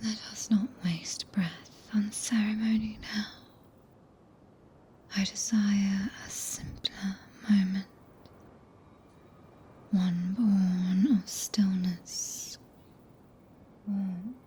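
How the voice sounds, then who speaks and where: Woman playing regal possessive witch, British accent, very slow breathy whisper, archaic soothing commands, ASMR roleplay, close binaural mic, faint low rumble. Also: level tone, mellow. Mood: tender, commanding. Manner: archaic, stately phrasing with intimate commands. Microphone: close binaural microphone.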